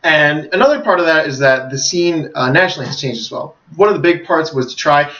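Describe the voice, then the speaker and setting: An American man co-hosting a podcast, making casual announcements and explaining things in a measured way. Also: on the high side, animated, a bit bright. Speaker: podcast co-host. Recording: muffled, slightly distant microphone.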